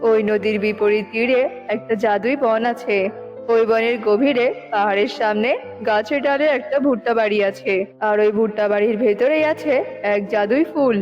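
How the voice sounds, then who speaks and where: A woman's voice: on the high side, normal speed, lightly acted. A woman voicing a stern, wise tantric healer in a cartoon, solemn and instructive. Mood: solemn warning. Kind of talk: cartoon dubbing. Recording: dull lo-fi audio with music bed. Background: music.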